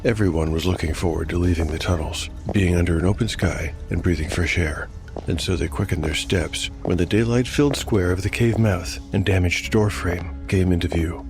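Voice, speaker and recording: Male narrator, very deep pitch, dark fantasy storytelling, solemn, measured, enunciated, prominent music bed. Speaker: narrator and game master.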